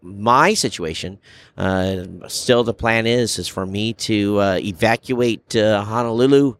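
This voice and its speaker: A man hosting a podcast in a casual, chatty style, with halting phrasing. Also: on the low side, no room sound. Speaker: podcast host. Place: booth in a very large trade show hall. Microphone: podcast microphone.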